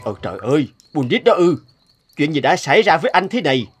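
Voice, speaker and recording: Kindly travelling entertainer, very expressive. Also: male voice, fairly high pitch, quick pace, over music. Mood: concerned. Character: kindly male travelling street performer. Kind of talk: animated fairy-tale dubbing.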